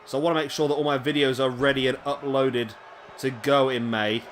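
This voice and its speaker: A man giving casual play-by-play commentary on a wrestling video game, chatty with rambling asides to viewers, his voice going a little hoarse. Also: mid-range voice, steady tone, a little fast, noisy background. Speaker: gaming commentator. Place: home room, late at night.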